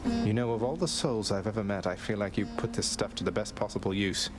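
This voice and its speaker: An actor voicing a young godling prince in a video game, dry, wry and understated. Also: male voice, on the low side, a little fast, over loud music, lightly acted. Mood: earnest.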